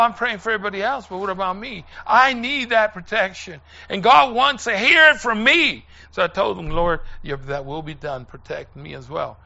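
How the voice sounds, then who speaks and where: Bright, creaky-voiced older male preacher. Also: high-pitched, lo-fi sound, a little hiss or hum. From Puerto Rico. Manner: earnest, halting, with pauses and repeated phrases. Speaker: preacher and former missionary. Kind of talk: personal testimony in a sermon.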